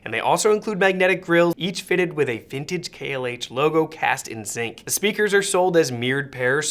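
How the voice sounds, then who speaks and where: Higher-pitched man narrating a product review. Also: fairly bright tone.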